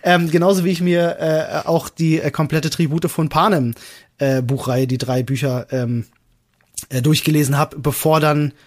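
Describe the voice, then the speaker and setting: A man's voice: on the high side, steady tone, normal speed. A man hosting a podcast in casual conversation, explaining things in a rambling, wordy way. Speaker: podcast host.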